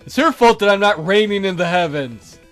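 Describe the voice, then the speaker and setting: A man's voice: high-pitched, animated, a little fast, fairly bright tone, soft background music, pitched below the performer's own voice. A man voicing a vain, boastful fallen god in a visual novel, pompous and resentful. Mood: bitter. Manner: pompous, flamboyant, demanding praise.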